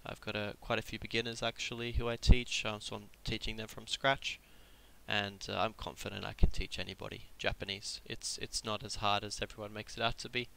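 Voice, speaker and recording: A man with a cold runs a live online Japanese lesson in a casual, explanatory way. His voice is low and gravelly with a bright, edgy tone. He fills his explanations with ums and restarts. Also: flat delivery, fairly quick, low-quality recording. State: has a cold. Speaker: Japanese tutor. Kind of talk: live-streamed language lesson.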